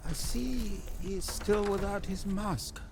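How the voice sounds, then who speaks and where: An actor playing an absent-minded undead guide and keeper of lore in a video game, speaking theatrically with hesitant rambling. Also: male voice, high-pitched, slow. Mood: dramatic. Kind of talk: video game character acting.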